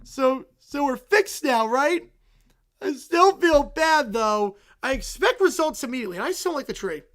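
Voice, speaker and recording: A man voicing a group of entitled, whining cartoon kids who complain dramatically and shout. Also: extremely high pitch, animated, moderate pace. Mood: whiny.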